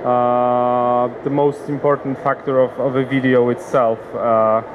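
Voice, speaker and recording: A man with a Polish accent giving a seminar talk, hesitant, with many pauses and restarts. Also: mid-range voice, level tone, narrow, tinny audio, background noise. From Poland. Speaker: conference speaker. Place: conference hall.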